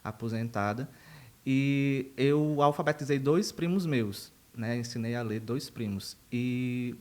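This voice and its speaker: A man's voice: mid-range voice, slow, darkish tone. Chatty man telling his story. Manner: chatty and digressive, laughs at himself. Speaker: university professor, podcast guest. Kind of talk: casual interview storytelling.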